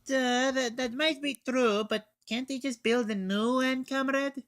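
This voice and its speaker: A teen reviewer voicing a blunt, excitable Russian soldier sidekick from a shooter game, scornful and matey. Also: high voice, unhurried, voice pushed up. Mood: scoffing. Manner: interjects with "duh", calls others comrade.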